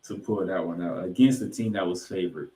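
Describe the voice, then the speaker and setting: A man's voice: low-pitched, a little fast, mellow. Man recapping football in an echoey room. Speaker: sports podcast co-host. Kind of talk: football recap commentary with stat read-outs. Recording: echoey, roomy sound.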